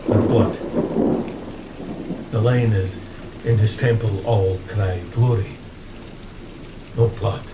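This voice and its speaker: Rattled rationalist officer, clipped, pedantic, insistent, gothic audio drama, roomy echo, rain and thunder noise. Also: male voice, on the low side, fairly even intonation, slow pace, long pauses. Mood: accusing. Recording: echoey cabin, rain and thunder behind.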